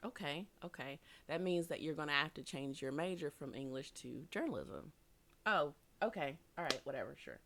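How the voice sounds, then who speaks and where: Deep-voiced woman telling a laughing story. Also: normal speed, slight background noise. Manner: laughs often, animated storytelling. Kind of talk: casual chat.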